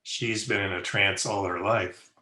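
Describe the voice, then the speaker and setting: A man playing a wisecracking, excitable young partygoer in old-time radio style. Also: on the low side, measured pace, reverberant. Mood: sardonic. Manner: sarcastic, excitable.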